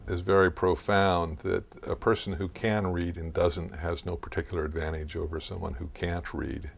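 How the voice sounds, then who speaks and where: A man with a low, gravelly voice answers as an expert in an informal interview. He explains things in a deliberate, scholarly way, often halting and feeling for the next phrase. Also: fairly even intonation. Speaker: author and expert guest.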